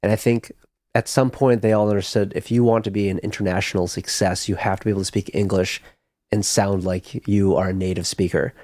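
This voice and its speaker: A man from Chicago talks loosely in a podcast chat, rambling with many fillers and restarts. His voice sits a bit low. He is recorded dry and close on the mic. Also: steady tone, a little fast, darkish tone, no room sound. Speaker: podcast guest, musician. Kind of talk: casual chat.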